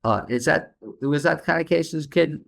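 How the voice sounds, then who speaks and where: A man's voice: fairly high pitch, normal speed, vocal fry, a bit bright. A man hosting a podcast interview, chatting in a loose, easygoing way with plenty of fillers. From New York City. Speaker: podcast host. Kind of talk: casual interview.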